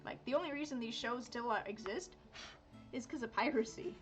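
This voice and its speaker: A woman's voice: a little high, a little fast, faint music behind. A woman in her thirties from Miami, chatting casually while drawing on a livestream, rambling with lots of fillers and self-corrections. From Miami, United States. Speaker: art streamer.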